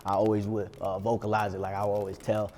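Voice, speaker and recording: A young man, nineteen, with a hint of a New York accent, speaking in a relaxed, slangy way, laughing easily. His voice sits on the low side and rings with a long reverb. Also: fast talker. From Long Island, New York. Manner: laid-back, slangy, laughs often. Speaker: rapper guest. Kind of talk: casual interview.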